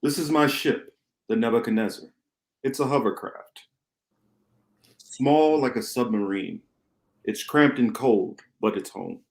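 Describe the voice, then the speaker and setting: A man playing a calm, wise rebel ship captain in an amateur radio play, measured and explanatory, recorded in an echoey room. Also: medium pitch, creaky. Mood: measured, assured.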